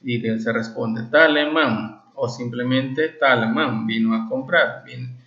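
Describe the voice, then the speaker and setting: A man's voice: medium pitch, slow pace, sharp tone. A man teaches a language class informally, explaining phrases and often checking that listeners follow. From Valladolid, Yucatán, Mexico. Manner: explanatory, frequent check-in questions. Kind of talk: informal classroom lesson with translated examples. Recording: dull, lo-fi, echoey room.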